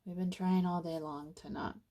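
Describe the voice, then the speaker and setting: A woman leading a guided meditation in an informal, conversational way, with soothing, casual phrasing and frequent pauses. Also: on the low side, fairly even intonation, dark tone.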